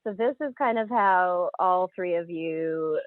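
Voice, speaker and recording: A woman's voice: fairly low pitch, unhurried. A woman interviewer asking questions conversationally over thin, phone-like audio.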